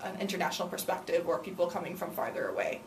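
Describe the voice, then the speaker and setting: A woman's voice: fairly low pitch, steady tone, quick pace, echoey room, distant mic, slight background noise, poor audio. A young woman in her twenties, a student teacher, answering interview questions earnestly with frequent ums. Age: 20s.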